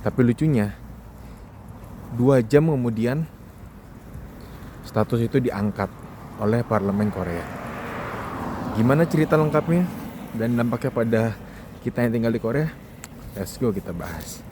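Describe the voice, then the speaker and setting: Casting: young Indonesian male vlogger, mid-range voice, informal chatty commentary, clear traffic and outdoor noise behind him. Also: mid-range voice, unhurried, pauses often. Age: young adult. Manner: informal, conversational, addresses the audience with questions. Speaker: vlogger, foreign student. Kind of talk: casual monologue commentary to viewers.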